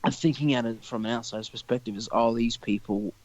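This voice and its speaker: A man's voice: medium pitch, steady tone, fast, highs cut off. A young man hosting a podcast, chatting casually in a rambling way and swearing freely. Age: young adult. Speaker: podcast host. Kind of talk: casual chat.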